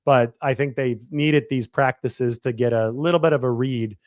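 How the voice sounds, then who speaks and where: A man in his thirties talking through a football analysis on his own show, quick and analytical, often restarting and correcting himself mid-sentence. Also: mid-range voice, fairly even intonation, no echo, clean studio sound. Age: 30s. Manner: quick analytical delivery with frequent self-corrections. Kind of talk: sports analysis monologue.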